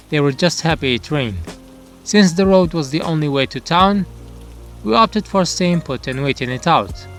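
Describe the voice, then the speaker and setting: A man with a slightly high voice narrates a story from a prepared script. He works as a storyteller-reviewer, with music running underneath. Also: a bit bright. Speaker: manga review channel narrator.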